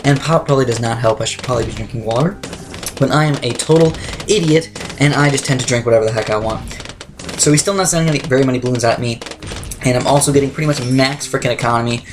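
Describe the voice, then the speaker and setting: A young man with a slightly raspy voice, talking quickly through game strategy as a let's play commentator. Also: medium pitch, noisy background. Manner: fast, chatty, self-correcting strategy talk. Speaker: gameplay commentator.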